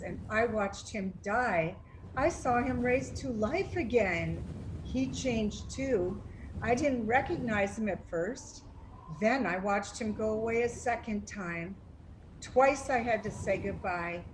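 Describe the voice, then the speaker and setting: A woman acting as a devoted, hopeful disciple of Jesus in a church dramatic reading, earnest and measured. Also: medium pitch, noisy background, close to an everyday voice. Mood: awed.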